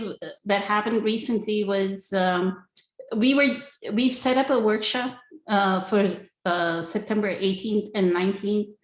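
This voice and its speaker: A woman speaks as a spiritual teacher in an informal, earnest way, turning to listeners with rhetorical check-ins. Her voice sits at a middle pitch. The sound is roomy and echoing, as on an online call from an indoor space. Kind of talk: spiritual talk, conversational teaching.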